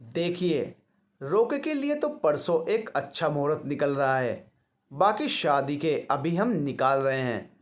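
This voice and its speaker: Man voicing a polite, measured priest. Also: fairly high pitch, very animated. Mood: businesslike.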